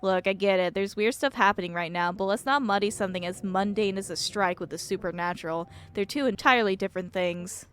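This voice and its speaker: A woman's voice: mid-range voice, fairly quick, few pauses, a bit bright, smooth, natural voice. A woman voicing a stubborn, sarcastic woman who runs a mine, in a curt, dry manner, in a video game. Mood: dismissive.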